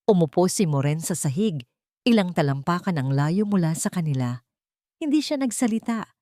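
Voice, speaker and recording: Lower-pitched, expressive woman narrator. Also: no room sound, clean studio sound. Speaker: audiobook narrator. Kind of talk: scripted dramatic story narration.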